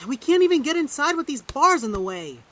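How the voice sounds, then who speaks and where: An actress playing a curious young twin who thinks things through aloud. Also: female voice, high voice, very animated, brisk, fairly bright tone. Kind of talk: audio drama acting.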